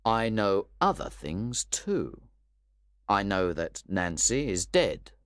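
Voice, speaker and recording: A man reading an audiobook who voices a calm, stern older gentleman, firm and measured. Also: on the low side, dry recording, clean studio sound, exaggerated voice.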